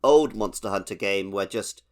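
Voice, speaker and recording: Man, slightly low voice, podcast co-host, explanatory, casual gaming chat. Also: normal speed, a crowd in the background.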